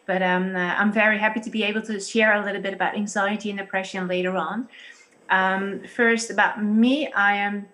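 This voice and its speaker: A woman in her thirties with a Dutch accent, a pastor and psychologist explaining things carefully and haltingly over a video call. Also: medium pitch, steady tone, pushed and bright. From Netherlands. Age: 30s.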